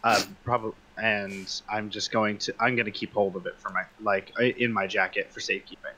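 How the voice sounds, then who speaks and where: Bright, forceful lower-pitched man gaming. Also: little pitch movement, moderate pace. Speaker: player in a tabletop game. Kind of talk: casual tabletop game chat. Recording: slightly dull, noisy mic.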